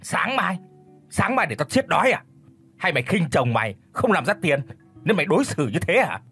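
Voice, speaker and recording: A man voicing a violent gambler husband who bellows abuse at his wife, with a husky voice over faint guitar music. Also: high-pitched, very animated, moderate pace, sharp tone, voice pushed up, full character voice. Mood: furious. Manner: bellowing and abusive.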